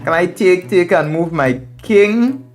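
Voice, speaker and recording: A man's voice: sits high, expressive, measured pace. Trinidadian man thinking aloud over music. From Trinidad and Tobago. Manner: thinks aloud, repeats phrases, addresses viewers as friends. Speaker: chess streamer and instructor. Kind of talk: live game commentary, explaining moves to viewers. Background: background music.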